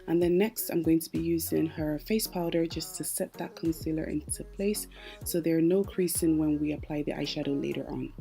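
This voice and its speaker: A woman's voice: sits low, fairly even intonation, a little fast, gravelly, mellow, faint music behind. A young woman narrating a makeup tutorial, chatty and explaining each step as she works. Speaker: makeup artist.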